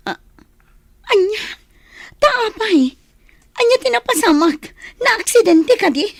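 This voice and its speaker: Worried, assertive married daughter, radio drama; high voice, close dry sound. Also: female voice, animated, slow pace, vocal fry, dry recording, heavily acted. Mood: alarmed, distressed. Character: anxious, assertive married daughter worried about her father. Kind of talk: Ilocano radio drama acting.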